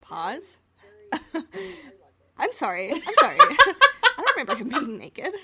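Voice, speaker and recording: A woman's voice: sits high, wildly swooping pitch, a little slow, very noisy. A woman co-hosting a casual fan podcast, chatting in a playful, teasing way. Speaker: podcast co-host.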